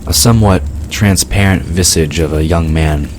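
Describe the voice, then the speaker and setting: A man's voice: low voice, moderate pace, background noise. A man reading scripted narration for a fantasy audio drama.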